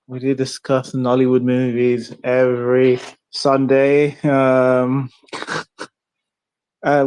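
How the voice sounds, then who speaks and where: A man's voice: medium pitch, darkish tone. A man moderating a casual film podcast, introducing the format and handing over to guests with frequent fillers. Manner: friendly, hesitant, many fillers.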